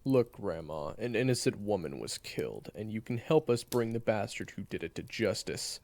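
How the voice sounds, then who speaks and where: A man playing a gruff, blunt, impatient monster hunter with a gravelly voice in a fantasy audio drama. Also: on the low side, lively intonation, normal speed, a little mellow. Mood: scornful, pushy. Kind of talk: fantasy audio roleplay acting.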